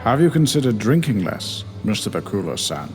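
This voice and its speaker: A man voicing a gentle, wise office coffee trolley man in a comic story, speaking in a soft, measured way. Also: lowish voice, very animated. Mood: gentle concern. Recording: long reverb, music behind.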